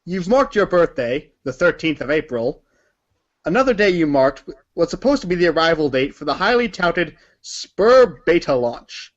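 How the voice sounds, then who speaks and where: Theatrical, higher-pitched man narrating a storybook. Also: very animated, normal speed, dull audio. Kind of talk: scripted second-person storybook narration, read-along.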